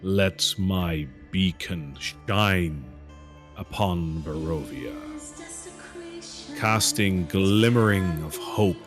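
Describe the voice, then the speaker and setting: A man voicing a benevolent male holy spirit who gives a slow, measured blessing. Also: sits low, over music. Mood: solemn.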